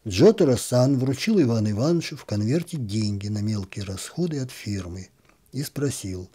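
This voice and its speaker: A man reading a literary story aloud in an expressive, gently ironic storytelling manner. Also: mid-range voice, moderate pace, husky.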